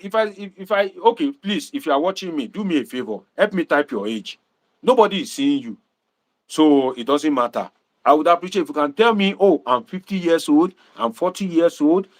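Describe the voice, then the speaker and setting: A Nigerian man hosting a livestream, talking to viewers in a preachy, animated way and dropping Pidgin asides. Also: on the high side. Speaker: livestream host and political commentator.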